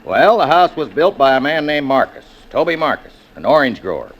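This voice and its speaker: A man's voice: mid-range voice, big pitch swings, fairly quick, highs cut off. A man playing a skeptical, matter-of-fact American real estate agent in a 1940s radio drama.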